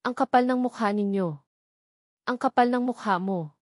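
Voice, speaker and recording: A woman's voice: a little high, moderate pace, no room sound, close to an everyday voice. Woman voicing a furious betrayed young heiress, dry sound. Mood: angry accusation. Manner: accusing, indignant, emphatic. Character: betrayed, furious young heiress confronting her accused husband.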